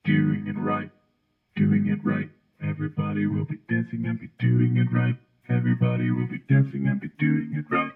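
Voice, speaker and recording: A man's voice: on the low side, big pitch swings, normal speed, gravelly. A man demonstrating a vocoder, his half-sung words turned synthetic by the effect. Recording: tinny band-limited vocoder sound over guitar.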